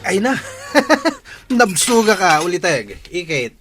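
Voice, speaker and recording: A man's voice: sits high, sing-song, slow, over music, heavily acted. A man playing a cheeky, jovial villager in an Ilocano radio comedy drama, with an Ilocano accent.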